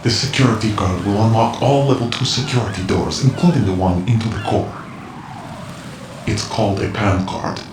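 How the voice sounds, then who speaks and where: An actor playing a cool, commanding ninja informant in a video game cutscene, speaking in a measured, knowing, clipped way. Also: male voice, lowish voice, lightly acted. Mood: instructive. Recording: echoing voice with music behind.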